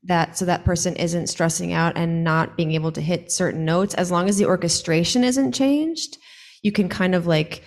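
A woman who is a songwriter, chatting casually as an interview guest in an animated, joking, self-deprecating way. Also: moderate pace. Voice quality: low singing voice.

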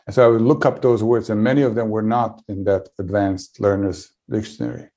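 Bosnian-accented man, 50s, low voice, panelist telling a thoughtful story with pauses. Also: fairly even intonation, warm tone. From Bosnia and Herzegovina. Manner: thoughtful, with many pauses and restarts. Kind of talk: reflective storytelling.

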